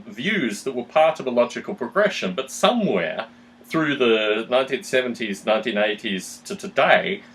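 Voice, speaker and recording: A man's voice: mid-range voice, a bit bright, rough recording. A man hosting a discussion, speaking in long, articulate, analytical explanations with formal wording. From Australia. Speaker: podcast host.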